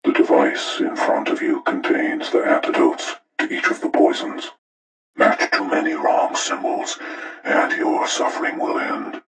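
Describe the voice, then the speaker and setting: An actor playing a cold, menacing older male serial killer who delivers deliberate, ominous, moralizing monologues in a breathy, gravelly rasp for a horror video game. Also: very deep voice, echoey room, faint music behind, poor audio. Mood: grave, judging.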